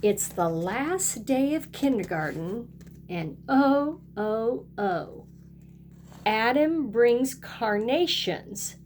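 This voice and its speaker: A woman's voice: a little high, animated, very slow, a little mellow, a little hiss or hum. A woman kindergarten teacher reading a picture book aloud and talking directly to young children in a sing-song way.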